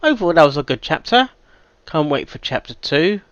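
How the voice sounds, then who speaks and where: A man giving casual reaction commentary, halting and thinking aloud, with sudden louder bursts of emphasis. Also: fairly high pitch, sing-song, dry recording, lo-fi sound. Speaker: reaction video host.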